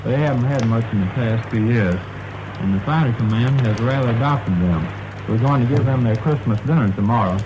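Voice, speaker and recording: A man's voice: medium pitch, brisk, background noise. A man from Alabama, an army sergeant, giving scripted answers in a 1940s radio interview. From United States, Alabama. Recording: vintage 1940s radio broadcast, background music.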